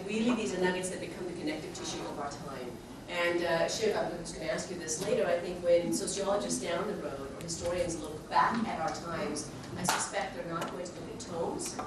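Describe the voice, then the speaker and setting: Loud, effusive woman in echoey hall. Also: lowish voice. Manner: lively, effusive, loud-voiced. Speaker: panelist. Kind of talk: book launch panel remarks. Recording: echoey hall, far from mic, noisy.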